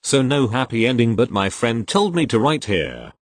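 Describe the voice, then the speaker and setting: A man reading online posts aloud as the regular reader of the channel, in an expressive storytelling way. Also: medium pitch, moderate pace, no room sound.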